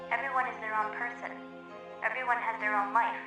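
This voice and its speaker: A woman plays an all-knowing, omnipresent child spirit in an animated comic dub. The voice is fairly high, calm and measured, explaining patiently. It rings with echo and sounds far off, with music underneath. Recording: echoing, distant, music underneath.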